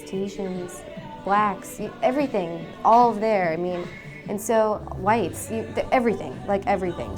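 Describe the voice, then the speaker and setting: Young woman, mid-pitched voice, animated storytelling, faint music behind, heard from a distance. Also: gravelly. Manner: animated, storytelling with quoted exchanges. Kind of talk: casual interview answers.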